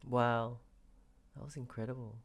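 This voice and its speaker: A man's voice: medium pitch, little pitch movement, moderate pace. A man giving casual, offhand, murmured reactions as he streams his play through a game. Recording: game music behind the voice.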